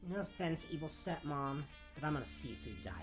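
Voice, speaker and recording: A woman playing a sarcastic, sharp-tongued young girl who teases dryly in a comedic fairy-tale radio play. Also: deep voice, expressive, a little fast, over music. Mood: snarky. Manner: dry, snarky, teasing.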